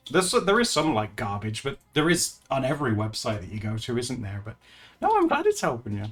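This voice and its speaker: Giggly, lively-voiced male streamer. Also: mid-range voice, a little fast, slightly rough, faint music behind. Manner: chatty and giggly, with frequent laughs and restarts. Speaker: livestreamer. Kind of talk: casual livestream chat with viewers while drawing.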